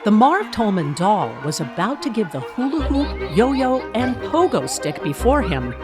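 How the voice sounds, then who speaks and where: A woman's voice: mid-range voice, big pitch swings, a little slow. A woman narrating a scripted documentary in a storytelling style. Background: music.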